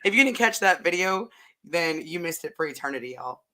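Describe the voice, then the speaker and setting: A woman co-hosting a casual podcast chat, candid and animated, her voice a bit hoarse from having partly lost it. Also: lowish voice, brisk, low-quality recording. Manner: animated and candid, uses "like" a lot. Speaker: podcast co-host.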